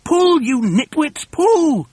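A man voicing a bossy, excitable father monkey in a storybook, impatiently shouting orders. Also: high-pitched, unhurried, husky, no echo, exaggerated voice. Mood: snappish, yelling.

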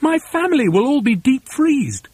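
A man's voice: very high voice, sing-song, normal speed, slightly rough, dry recording, pitched above the performer's own voice, heavily acted. A man voicing an anxious, indignant father monkey in a storybook reading, exclaiming and questioning. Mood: worried.

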